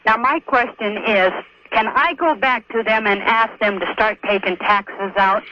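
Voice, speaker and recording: A man calling a radio show, explaining a problem in a measured, hesitant, precise way over the telephone. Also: high-pitched. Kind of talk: explaining a work problem by phone. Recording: muffled noisy phone line on radio.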